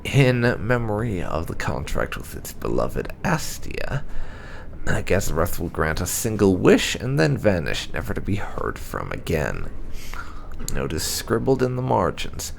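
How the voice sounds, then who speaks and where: Low, gravelly man reading lore aloud. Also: wildly swooping pitch, unhurried, slight background noise. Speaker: gaming video host. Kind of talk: reading game lore notes aloud, narration.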